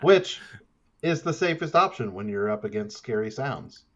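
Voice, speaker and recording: A man's voice: mid-range voice, animated, moderate pace, fairly bright tone. A man casually improvising narration as the director in a tabletop horror-comedy game. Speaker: player acting as director of the story. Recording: slightly dull, muffled audio.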